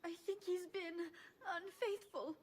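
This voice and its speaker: An actress playing a wounded, heartbroken young Italian sister in a video game, with an Italian accent. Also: female voice, extremely high pitch, steady tone, measured pace, slight background noise. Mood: tearful. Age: young adult. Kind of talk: dramatic video game acting.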